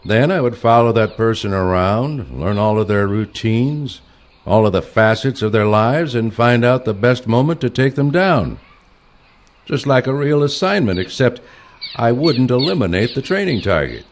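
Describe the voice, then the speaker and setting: An actor playing an older retired male assassin, a stern mentor with a dry, curt, deadpan manner in a comic audio play. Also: mid-range voice, moderate pace, close to an everyday voice. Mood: matter-of-fact.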